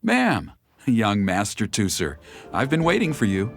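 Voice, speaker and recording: An actor playing a polite, deferential subordinate escort in an English video game, speaking in a formal, measured manner. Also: male voice, fairly low pitch, wildly swooping pitch, exaggerated voice. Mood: dutiful. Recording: clearly audible background music.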